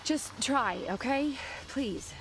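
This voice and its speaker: A woman's voice: high voice, animated, slow pace, heavily acted. A woman acting a weary, proud and heartbroken police detective in an audio drama. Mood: tired, urgent. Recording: loud dramatic music behind the voice.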